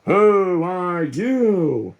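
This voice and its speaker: A man's voice: sits high, very animated, very slow, highs cut off, full character voice. Chihuahua gang leader acted by a man: big among small dogs, solemn, declaratory, children's storybook. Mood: challenging. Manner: declaratory, somber.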